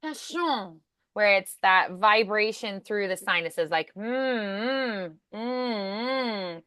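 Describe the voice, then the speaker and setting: A woman's voice: mid-range voice, animated, takes it slowly, sharp tone, clear, resonant tone, dry recording, studio quality. A woman speaking as an encouraging voice acting coach in a one-on-one lesson.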